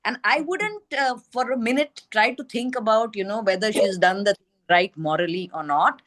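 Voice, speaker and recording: A woman's voice: medium pitch, normal speed, a bit bright, dry recording. A woman with an Indian accent sharing her opinions in an informal group discussion as one of the participants. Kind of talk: informal book club discussion.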